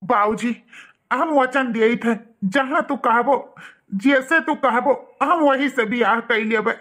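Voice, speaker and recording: A man's voice: extremely high pitch, slow pace, pushed and bright, heavily acted. Hot-tempered cartoon husband and devoted son, Awadhi accent, played by a male actor. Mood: pleading, distressed. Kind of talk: cartoon dialogue.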